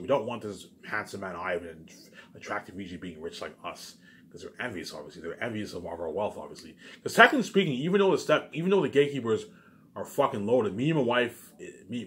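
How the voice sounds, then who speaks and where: A man giving a rambling, casual monologue as a video maker, insistent, boastful and often repeating himself. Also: lowish voice. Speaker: video maker talking to viewers.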